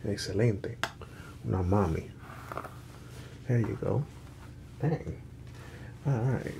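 A man in his thirties doing a soothing ASMR roleplay in a soft, breathy whisper and murmur, gentle and reassuring. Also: fairly low pitch, slow pace, noisy background. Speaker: ASMR performer as hairdresser. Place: small indoor room set up as a salon.

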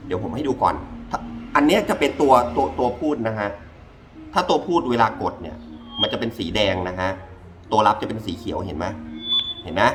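A man's voice: fairly low pitch, sing-song, fairly quick, over music. A man giving a casual hands-on gadget review, informal and repeating himself as he demonstrates step by step. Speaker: product reviewer. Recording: clearly noisy ambient background.

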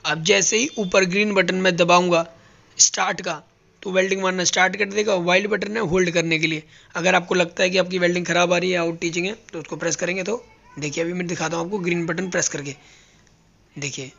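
A man's voice: high-pitched, fairly even intonation, brisk, gravelly, a bit bright, highs cut off. A man teaching robot welding step by step, explaining each button as he presses it on a factory floor. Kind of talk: hands-on tutorial.

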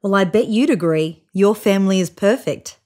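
A woman in her thirties reading a children's picture book aloud in an expressive, child-directed way. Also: mid-range voice, normal speed. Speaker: storybook reader. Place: small room indoors, seated on a couch.

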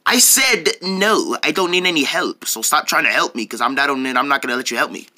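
Man acting out a bright, excitable, stubborn fire maker. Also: fairly high pitch, fairly quick. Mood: defiant. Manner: repetitive, blustering, excitable. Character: stubborn, easily flustered would-be fire maker at a cookout. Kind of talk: improvised character skit.

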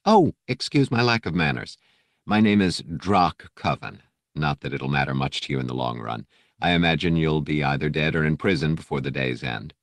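A man's voice: rumbling low, expressive, moderate pace, dry recording. A man voicing a stocky space smuggler in an audiobook, polite and well-spoken, with a pleasant, coolly amiable tone. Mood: amiable, smug. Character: genteel, amiable, stocky male smuggler bounty hunter.

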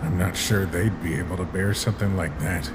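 A man voicing a weary, gentle skeleton monster in a comic dub, speaking in a halting, resigned way. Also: sits low, fairly even intonation, noisy background, lightly acted. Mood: somber, resigned. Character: weary, guilt-ridden male skeleton monster, protective and gentle. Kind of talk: dramatic comic dub voice acting.

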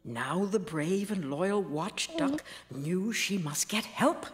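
A woman playing a kindly storyteller in a children's cartoon, gentle and playful in delivery. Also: lowish voice, expressive, slow. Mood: storytelling. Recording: music behind the voice.

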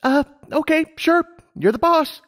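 A man's voice: very high voice, a little slow, fairly bright tone, voice pushed up. A man voicing an eager, bubbly young female pony pilot in an acted audiobook, chirpy and earnest. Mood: excited.

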